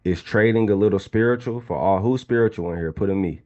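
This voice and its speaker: Deep dark-voiced guy in his 20s hyping up a live trading class, keeps talking to the chat, kinda dull lo-fi audio. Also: fast. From Atlanta, Georgia. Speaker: trading instructor.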